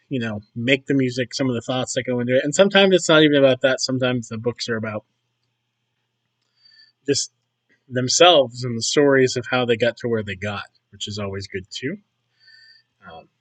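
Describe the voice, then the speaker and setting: A man with a hoarse, worn-out voice talks through a video game session at an ordinary mid pitch. He rambles from one thought to the next and keeps clearing his throat. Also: rough recording. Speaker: gaming YouTuber. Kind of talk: casual commentary over gameplay.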